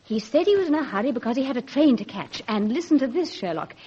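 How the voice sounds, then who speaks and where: A slightly noisy, muffled old radio play recording of a policeman's clever sweetheart. She speaks in a high, animated voice with playful, wry theatricality. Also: fast talker. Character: teasing, quick-witted sweetheart of a young policeman. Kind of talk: vintage radio drama acting.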